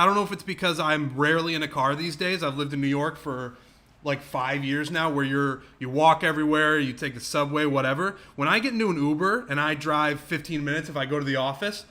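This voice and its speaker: A man's voice: a little high, fairly quick, sharp tone. A man co-hosting a golf podcast, telling wry self-deprecating stories. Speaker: podcast co-host. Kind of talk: casual chat.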